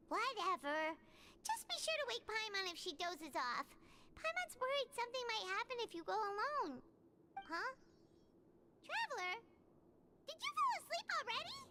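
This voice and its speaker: A woman voicing a small, childlike fairy companion in a video game, squeaky and chatty, easily worried and speaking of herself in the third person. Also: sing-song, measured pace, pauses often, full character voice. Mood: tired, worried. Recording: loud game music behind.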